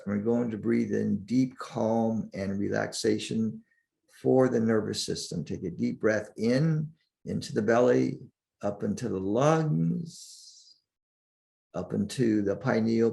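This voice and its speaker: A man guiding a sleep meditation in a soothing, deliberate manner, leaving long pauses between short phrases. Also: fairly low pitch, vocal fry, dark tone. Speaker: meditation guide.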